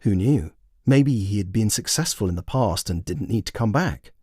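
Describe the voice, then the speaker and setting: Expressive man narrating a short story. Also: fairly low pitch, moderate pace, slightly rough, no room sound, studio quality. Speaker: author and narrator.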